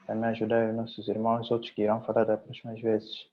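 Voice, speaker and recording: A man's voice: lowish voice, monotone, fast, a little hiss or hum. A man leading a solemn spoken prayer, building it from repeated parallel phrases. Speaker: congregation member leading prayer. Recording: dull lo-fi livestream audio.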